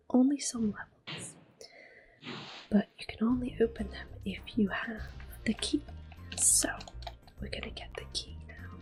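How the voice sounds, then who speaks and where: A woman hosts a gaming video in a soft, breathy whisper. She takes her time, rambling gently and casually in an ASMR style, with game music playing quietly behind her. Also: mid-range voice, low-quality recording. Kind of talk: ASMR gameplay commentary, casual. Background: game music and controller sounds.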